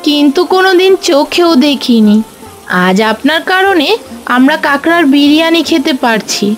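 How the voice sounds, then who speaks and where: A woman's voice: sits high, animated, normal speed, soft background music. A woman voicing an eager, admiring village customer in a cartoon fairy tale.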